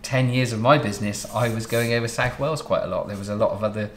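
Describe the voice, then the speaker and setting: A man in his thirties from Southwest England hosting a relaxed interview, chatting and explaining at length. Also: medium pitch, fast talker, echoey room, slight background noise. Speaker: interview host, wedding photographer. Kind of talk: casual interview. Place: home studio office, indoors.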